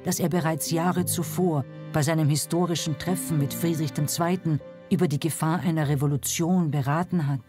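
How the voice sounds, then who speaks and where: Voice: mid-range voice, steady tone, moderate pace, dark tone. A documentary narrator reading a scripted history narration. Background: music.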